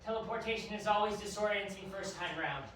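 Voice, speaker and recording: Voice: medium pitch, fast talker, close to an everyday voice. An actor playing a cryptic, hesitant agent explaining an uncanny mission in an audio drama. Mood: explanatory. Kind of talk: audio drama acting, dialogue. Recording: very echoey, distant, faint noise.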